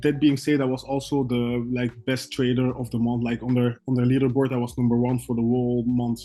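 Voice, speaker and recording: Mellow-voiced young man from Belgium. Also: mid-range voice, little pitch movement. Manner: halting, many restarts and fillers, second-language English. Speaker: guest forex trader. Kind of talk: casual interview chat.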